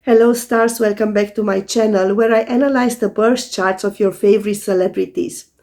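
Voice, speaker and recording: A hoarse woman, an astrologer, calmly explaining in scripted video narration while recovering from a sore throat. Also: medium pitch, moderate pace. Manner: calm, methodical explaining to viewers.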